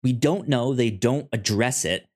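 A man talks as a co-host in a casual discussion. His delivery is slow and halting, and he tends to stammer over the first sounds of words. The pitch sits in an ordinary middle range. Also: a little mellow. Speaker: podcast co-host.

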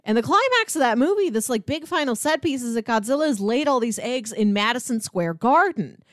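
A woman's voice: a little high, big pitch swings, fairly quick, no room sound, studio quality. A woman podcast co-host in casual film discussion, thinking aloud and talking through examples in a conversational way.

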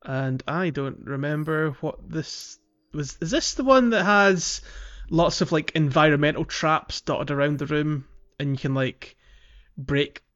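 A man's voice: on the high side, a little slow, a bit bright, muffled recording. A man hosting a casual gaming podcast, chatting playfully through a game walkthrough. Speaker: podcast host.

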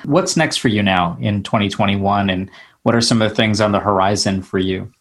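A man with a low voice hosting an interview podcast. He asks long, winding questions full of ums and restarts, and chimes in with short agreements while his guest talks. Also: a little fast. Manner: rambling questions with fillers, agreeable backchannels. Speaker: podcast host.

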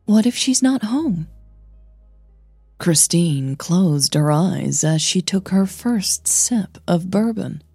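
A woman's voice: lowish voice, expressive, takes it slowly, warm tone, slightly rough, no echo, faint music behind. A woman narrating fiction and talking informally as the host of her podcast.

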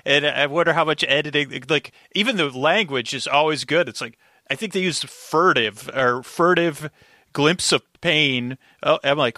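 A man's voice: a little high, pushed and bright, dry recording, clean studio sound. A man guesting on a recap podcast, chatting casually and enthusiastically in rambling, half-finished thoughts. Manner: rambling, enthusiastic, quotes his own reactions.

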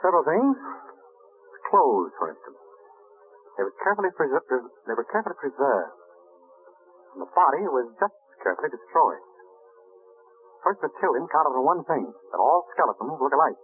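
A man's voice: fairly high pitch, big pitch swings, moderate pace, pauses often, soft background music. A man playing a suave amateur detective in 1940s radio drama, urbane, breezy and dryly playful. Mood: wry, confident. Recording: noisy, narrow-band vintage radio recording.

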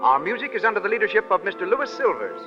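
A man's voice: high voice, very animated, fast talker. An American man announcing a 1930s radio show in a formal, proclamatory style. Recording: muffled vintage 1930s radio, loud music.

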